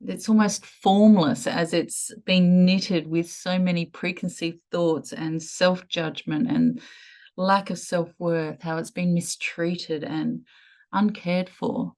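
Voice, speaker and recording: A woman leading a guided meditation in a soothing, measured way with lingering pauses. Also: fairly low pitch, steady tone, a little mellow.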